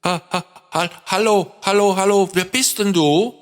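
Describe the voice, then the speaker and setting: A man voicing a cheerful, brave little bear in a children's story, playful and excitable. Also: high voice, measured pace, pitched above the performer's own voice. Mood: excited, nervous. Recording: long reverb.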